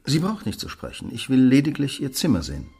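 An actor playing a shrewd, self-assured detective who speaks precisely and probingly in a radio play. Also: male voice, mid-range voice, huge pitch swings, quick pace, vocal fry, darkish tone, slightly rough, soft background music, full character voice. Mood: firm, commanding. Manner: precise, probing, imperious deduction. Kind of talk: dramatic radio play dialogue.

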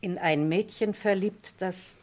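A woman's voice: mid-range voice, slow pace, darkish tone, close to an everyday voice. An actress playing a guarded, reluctant lady who defends her friend under questioning in a German radio crime drama, speaking formally and earnestly.

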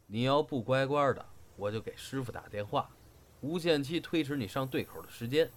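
A man's voice: mid-range voice, normal speed, natural voice. A man playing an exasperated, bossy senior crosstalk partner in an audio drama, brusque and scolding. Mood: stern scolding. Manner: scolding, brusque, theatrical when reciting. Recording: faint background noise.

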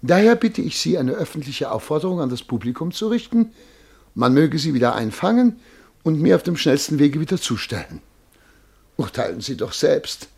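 An actor playing a self-important, easily flustered male official in a radio play, halting, pompous and pleading, with a husky voice. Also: on the high side, big pitch swings, darkish tone, exaggerated voice. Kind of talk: German radio play acting.

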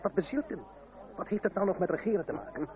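Actor as talkative French gentleman, tinny sound. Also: sits high, fast, a crowd in the background, close to an everyday voice. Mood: bemused indignation. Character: talkative, politically indignant French gentleman confidant. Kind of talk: radio play acting. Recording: tinny, band-limited old radio recording.

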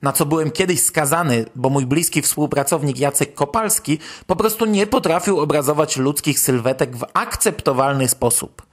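A man's voice: fairly high pitch, animated, moderate pace, hardly any pauses. A Polish man hosting a podcast, commenting on news in a conversational, wry way. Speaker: podcast host.

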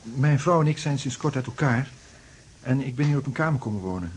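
A man's voice: medium pitch, expressive, a little fast, breathy edge. An actor in a Dutch radio play playing a depressed, sleepless, recently separated man who speaks hesitantly. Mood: polite, downcast. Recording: noisy old radio drama recording.

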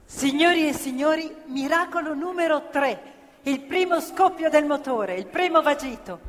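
High, measured female storyteller in a reverberant hall. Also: animated. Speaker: stage storyteller and actress. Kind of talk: dramatic narrative theatre storytelling. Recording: reverberant hall, loud live music behind. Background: live violin, piano and double bass music.

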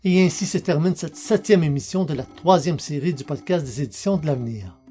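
A man's voice: on the high side, fairly quick. A man reading scripted podcast credits and sign-offs as the show's announcer.

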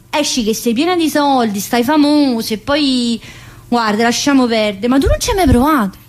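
A woman's voice: a little high, natural voice. An actress playing a talkative, needy, restless young mother in prison, in a theatrical monologue with colloquial southern Italian speech and insistent repetitions. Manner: colloquial dialect, insistent repetitions, addressing a listener. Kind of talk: theatrical monologue, radio drama.

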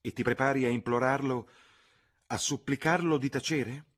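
A man's voice: deep voice, animated, normal speed, fairly bright tone, voice pushed up. A man voicing a fussy, reproving older spinster chaperone in an audiobook reading. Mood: insistent. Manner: mildly reproving, prim, insistent.

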